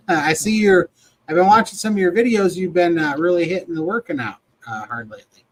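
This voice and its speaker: A man's voice: sits high, a little fast, poor audio. A man hosting a live video chat show, talking casually with informal, jokey banter. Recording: clear background noise.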